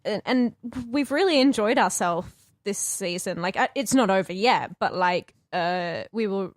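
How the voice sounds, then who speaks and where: A woman's voice: mid-range voice, lively intonation, a little slow, no room sound, studio quality. A woman co-hosting a podcast, chatting casually and peppering her talk with filler words like "like". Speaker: podcast co-host. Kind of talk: casual fan podcast chat.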